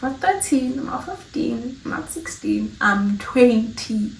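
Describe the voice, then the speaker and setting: A woman's voice: a little high, expressive, measured pace, creaky, roomy sound, recorded from a distance, a little hiss or hum, rough recording. A woman in her twenties from South Africa, chatting casually to her viewers in a rambling, laughing way. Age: 20s. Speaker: vlogger. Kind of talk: casual chat to camera.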